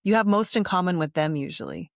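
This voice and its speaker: A woman hosting a podcast interview, reflecting at length and summing up her guest's points in a relaxed, conversational way. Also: fairly low pitch, fast, vocal fry, no echo, clean studio sound. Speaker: podcast host.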